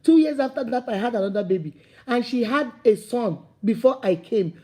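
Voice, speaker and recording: A Nigerian-accented woman delivers a fired-up motivational talk, her high voice husky at the edges but mellow in tone. She preaches in repeating, building phrases that rise to emphatic calls. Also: animated, a little fast. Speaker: motivational speaker. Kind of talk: impassioned motivational talk to camera.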